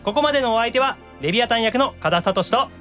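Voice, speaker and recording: A man with a high voice and a Kansai Japanese accent hosts a chatty radio-style show. His delivery is quick and swings widely in pitch. He teases and playfully scolds in casual banter. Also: expressive, sharp tone, soft background music. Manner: teasing, mock-scolding banter. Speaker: podcast host.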